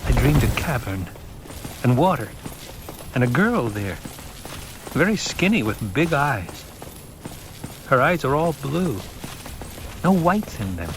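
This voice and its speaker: Man voicing an earnest boy, pitched up. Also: big pitch swings, slow, long pauses, a little hiss or hum. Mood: earnest, dreamy. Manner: earnest, dreamy, raised lighter voice. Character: earnest, guarded teenage boy recounting strange dreams.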